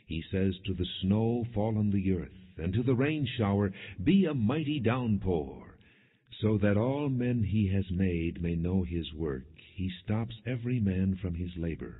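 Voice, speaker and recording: Low male voice, husky, solemn scripture narration, measured declamatory delivery, thin phone-like sound. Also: animated. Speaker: audiobook Bible narrator. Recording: phone-like narrow band, long hall reverb.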